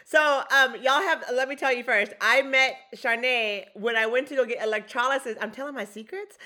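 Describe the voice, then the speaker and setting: A woman's voice: high-pitched, sharp tone. A woman hosting a podcast, talking in a chatty, effusive way with frequent restarts. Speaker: podcast host. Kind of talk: casual podcast conversation.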